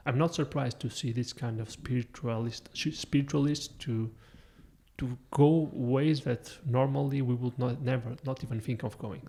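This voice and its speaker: A man's voice: medium pitch, vocal fry, darkish tone. A man hosting a podcast, musing at length and correcting himself as he goes, in casual interview talk. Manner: musing, rambling, self-correcting. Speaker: podcast host.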